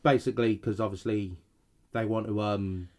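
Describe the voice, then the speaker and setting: A man's voice: on the low side, steady tone, normal speed, darkish tone. A man co-hosting a podcast, sounding a bit nasal as he recovers from an illness, reading definitions from notes with some stumbles and restarts. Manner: reads definitions with hesitations and restarts. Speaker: podcast co-host.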